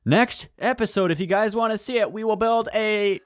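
A man with a high voice hosts a gameplay video with his friends. His commentary is exclamatory and urgent, and music plays behind him. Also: normal speed, clean tone, no echo. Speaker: gaming video host.

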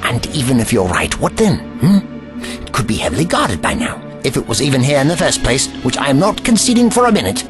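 Male actor as haughty old gentleman, fussy, cantankerous, hugely animated intonation, audio drama, music underneath. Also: mid-range voice, brisk, close to an everyday voice. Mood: irritated. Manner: haughty, fussy, tutting with "hmm" and "eh". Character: pompous, cantankerous old gentleman time traveller.

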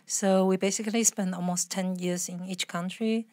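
A woman's voice: mid-range voice, fairly even intonation, moderate pace, a little mellow, no echo. Woman, thirties, Spanish-tinged English accent, chatty interview guest, dry close sound. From Taiwan, raised in Argentina and Canada. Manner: chatty, frequent laughs and restarts. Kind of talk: casual interview conversation.

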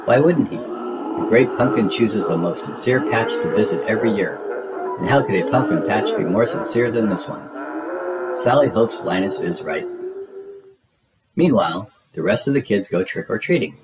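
A man narrating a children's storybook in an expressive, deliberate storytelling manner. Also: fairly low pitch, heavy background noise. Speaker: read-aloud narrator. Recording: music behind the voice. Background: music.

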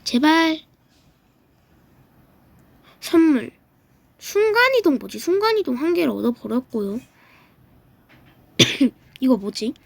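Woman, high voice, slow unhurried talk, casual game commentary, getting over a throat cold. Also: sing-song, gravelly, no room sound. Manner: chatty, reacts aloud with quick exclamations. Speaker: gaming YouTuber.

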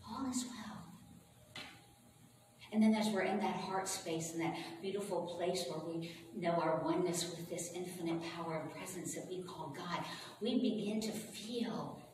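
A woman pastor speaking informally to her congregation in a chatty, folksy, unhurried way, as she welcomes them and leads prayer. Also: fairly low pitch. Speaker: pastor leading a church service. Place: large echoing room with a congregation. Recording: roomy, distant, noisy church service recording.

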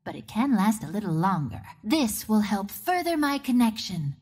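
A woman's voice: medium pitch, very animated, moderate pace, darkish tone, breathy edge. A woman playing a cocky, playful young archer heroine of the wind in a video game, with a breezy, sassy, theatrical delivery. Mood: cocky, musing. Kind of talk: video game hero voice lines.

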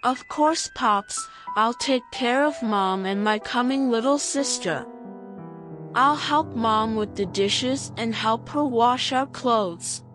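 A woman's voice: on the high side, slow pace, a bit bright, soft background music. A woman voicing an eager, helpful young boy in a children's story, with an earnest, childlike manner.

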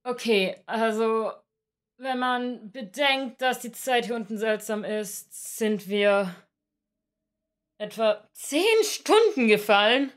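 Ein Mann spricht eine sachliche, kluge junge Frau in einer Hörgeschichte. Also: male voice, sits high, slow, fairly bright tone, voice pushed up. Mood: calculating. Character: matter-of-fact, quick-witted young woman with a gun. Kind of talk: audiobook character voice.

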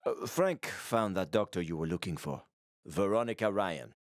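A man's voice: low-pitched, lively intonation, normal speed, no echo, studio quality. An actor playing a wry, world-weary male survivor in a video game, speaking in a casual, sardonic way. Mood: wry, subdued. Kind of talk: video game character dialogue.

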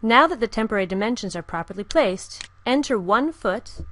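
A woman giving calm, scripted step-by-step narration for a software tutorial. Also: fairly high pitch, brisk, no echo. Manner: calm, even, step-by-step instruction. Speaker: software instructor. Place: voice-over with screen recording.

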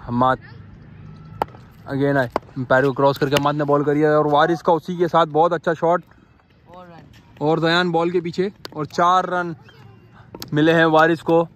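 A man's voice: a little high, normal speed, long pauses. A young man from Pakistan giving live ball-by-ball commentary on a street cricket match, filmed on a phone outdoors. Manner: repetitive excited play-by-play, calls out to the umpire. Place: outdoor dirt cricket ground.

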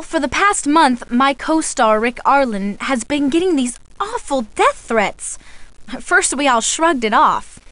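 High-voiced, confiding young soap actress. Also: female voice, dry recording. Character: friendly young soap opera actress, shaken by threats. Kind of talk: video game character dialogue.

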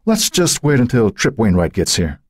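Man as a firm, husky police chief. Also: medium pitch, huge pitch swings, moderate pace, pitched above the performer's own voice. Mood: guarded, firm. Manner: firm, controlled, commanding. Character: steady, authoritative male small-town police chief.

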